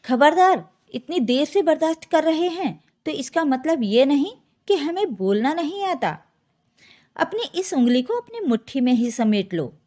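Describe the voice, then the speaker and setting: Woman acting an outraged father, high-voiced, animated. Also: unhurried. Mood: stern warning. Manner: scolding, outraged. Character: indignant middle-aged father fiercely defending his married daughter.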